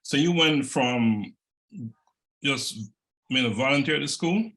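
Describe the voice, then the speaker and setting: Male host, mid-pitched gravelly voice, polite interviewer who recaps and prompts. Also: animated, unhurried, a bit bright, rough recording. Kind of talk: interview questions.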